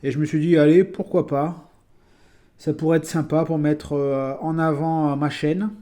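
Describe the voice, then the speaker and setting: A man from France talks casually through his collection of toy vehicles. He hesitates often and fills gaps with small sounds. His voice sits a little high and sounds warm and mellow. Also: level tone, moderate pace. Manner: chatty, hesitant with many fillers. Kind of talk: casual video presentation.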